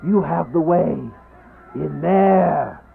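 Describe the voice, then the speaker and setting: Casting: man as defiant, desperate male vampire, gravelly and slow, theatrical horror radio, thin phone-like sound. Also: a little high, exaggerated voice. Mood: accusing, demanding. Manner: slow, declamatory, accusing. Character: desperate, defiant vampire begging and accusing his maker. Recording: muffled phone-like radio play, background noise.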